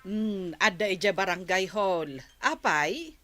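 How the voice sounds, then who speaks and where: Woman acting nagging household lady, ilocano accent, dry and fed up, mid pitch, comic audio drama. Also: animated, slow, heavy background noise. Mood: scolding. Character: exasperated, scolding woman of the captain's household.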